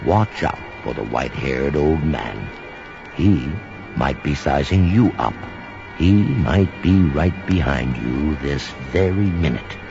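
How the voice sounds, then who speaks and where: An actor playing a haunted, melodramatic man who tells a ghoulish horror tale with theatrical pauses and repetitions. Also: rumbling low, lively intonation, creaky, heavily acted. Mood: sinister. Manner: theatrical, halting repetitions for suspense. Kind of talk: dramatic horror storytelling. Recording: dull recording under loud music.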